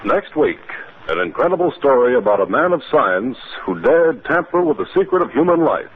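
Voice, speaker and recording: A man's voice: mid-range voice, sing-song, moderate pace, breathy edge. An American man announcing in formal, dramatic 1950s radio style, reading scripted credits. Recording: tinny vintage radio, music behind.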